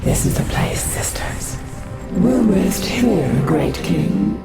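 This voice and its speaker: Casting: eerie female spirit for fantasy game, gravelly, unhurried, falsely polite. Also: on the low side, big pitch swings, full character voice. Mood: eerily courteous. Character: eerie female banshee spirit, deceptively courteous servant. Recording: loud music behind the voice.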